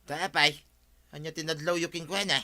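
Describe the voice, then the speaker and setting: A man's voice: fairly high pitch, steady tone, moderate pace, bright, forceful tone. An actor playing a teasing village man in a radio comedy drama. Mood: questioning.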